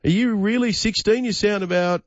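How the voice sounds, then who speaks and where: A man's voice: sits high, no echo, dull audio. Australian man hosting breakfast radio. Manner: brisk, joking banter with callers. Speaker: radio host.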